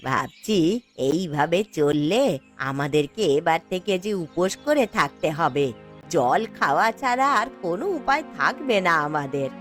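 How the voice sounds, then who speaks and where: A woman voicing a poor, patient old grandmother in a fairy tale cartoon, speaking slowly and gently with a plaintive manner. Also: on the low side, animated, faint music behind, voice pushed down. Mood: weary, hopeful.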